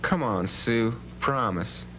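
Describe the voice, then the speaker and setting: Bold cowboy rancher, mid-pitched, folksy, authoritative, radio play, slight background hum. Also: male voice, slow pace, a bit bright, poor audio. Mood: earnest, warning. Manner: folksy, plain-spoken, authoritative. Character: bold, commanding legendary cowboy, big-idea rancher. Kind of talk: educational radio drama acting. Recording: hum and faint background noise.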